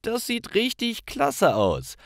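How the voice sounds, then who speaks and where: Friendly young female pal in an audio story, done by a man: high, upbeat, encouraging, very dry sound. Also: wildly swooping pitch, takes it slowly, vocal fry, no room sound, heavily acted.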